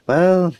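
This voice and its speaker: A man's voice: high-pitched, darkish tone. A man giving casual let's play commentary in short, offhand, joking asides.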